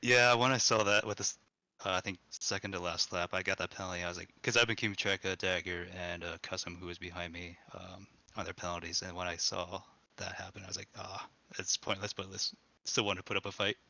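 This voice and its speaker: A man's voice: low voice, brisk, vocal fry, hardly any pauses, no echo. A man interviewed after a sim race, talking loosely with fillers. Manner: relaxed, filler-heavy. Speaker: race driver, third place.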